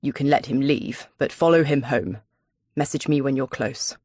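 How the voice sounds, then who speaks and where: A woman's voice: very deep voice, normal speed, darkish tone, no echo, studio quality, voice pushed down. A woman voicing a menacing, coolly controlling male villain in a thriller audiobook, taunting and commanding. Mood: cold, commanding.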